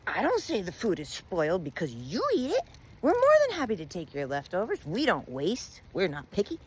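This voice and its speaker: A woman's voice: lowish voice, huge pitch swings, normal speed, husky, heavily acted. A woman voicing an indignant, wounded male rat in an oral story, protesting emphatically and pleading his case. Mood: offended, indignant.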